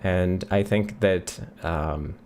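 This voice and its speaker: Swedish-accented man, middle-aged, lowish voice, laid-back livestream host, close boom mic. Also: takes it slowly. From Sweden. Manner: relaxed, thinks aloud with many ums, reads chat questions out. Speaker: livestream host answering chat questions. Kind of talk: casual live Q&A. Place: home office, indoors. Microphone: studio mic on a boom near the face.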